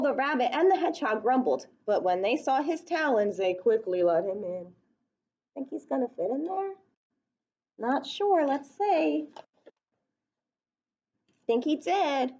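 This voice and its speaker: Higher-voiced woman reading aloud to young children. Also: highs cut off. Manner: child-directed, expressive, asking the listeners questions. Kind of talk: picture-book read-aloud with chatty asides to children.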